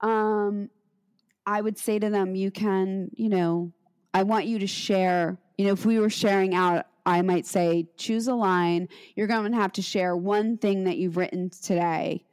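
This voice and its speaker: A middle-aged woman teacher in casual conversation, speaking reflectively with frequent pauses and restarts. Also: medium pitch, steady tone, a little mellow, smooth. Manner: reflective, halting with many restarts.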